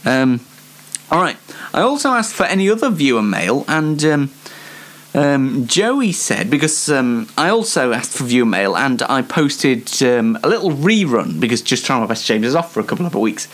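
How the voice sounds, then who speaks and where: A man's voice: medium pitch, big pitch swings, normal speed, a bit bright. A young man hosting a comedy web show, chatting casually to viewers in a rambling, hesitant, self-deprecating way with dry mock indignation. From Yorkshire, England.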